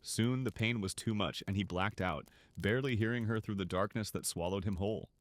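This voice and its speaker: A man narrating a scripted story for an audio drama in an expressive, dramatic way. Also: lowish voice, brisk, no echo. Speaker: narrator and voice actor.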